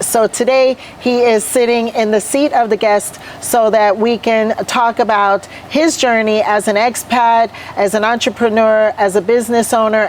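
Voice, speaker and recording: A woman's voice: fairly high pitch, a little hiss or hum. Upbeat woman hosting a podcast. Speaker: podcast host. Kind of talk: casual interview chat. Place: on location at a hotel.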